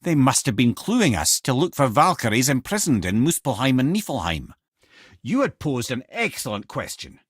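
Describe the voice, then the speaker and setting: A man's voice: medium pitch, very animated, normal speed, slightly rough, dry recording, clean studio sound. A man playing a wry, worldly-wise old storytelling sage with a Scottish accent in a video game. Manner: wry, lilting, theatrical storyteller. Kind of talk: video game character acting, storytelling and banter.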